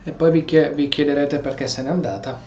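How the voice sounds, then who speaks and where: A man gives casual commentary while playing a game, reading and translating as he goes. His voice is fairly high. The sound is roomy and a bit dull, from an echoey room. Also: fast talker, warm tone. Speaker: gameplay streamer. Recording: echoey, dull mic with faint noise.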